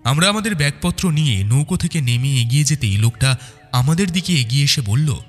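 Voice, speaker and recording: A man's voice: on the high side, lively intonation, fairly quick, long reverb tail, soft background music. A man narrating a detective audio story in a dramatic, scripted first-person style.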